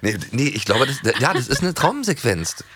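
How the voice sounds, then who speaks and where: A man's voice: mid-range voice, very animated, moderate pace, a bit bright. A middle-aged man co-hosting a podcast in a casual, conversational style. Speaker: podcast co-host. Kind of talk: casual chat.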